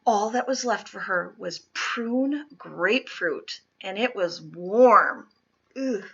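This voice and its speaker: A woman reading a children's picture book aloud in an expressive, dramatic way, leaning on key words. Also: mid-range voice, gravelly, highs cut off. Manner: dramatic, deliberate storytelling with stressed key words. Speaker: storybook reader.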